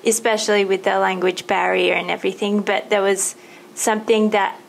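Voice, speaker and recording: A woman's voice: mid-range voice, flat delivery, brisk, vocal fry, slight background noise. A woman hosting a podcast interview, chatting casually with many fillers and long rambling turns. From Thailand. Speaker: podcast host.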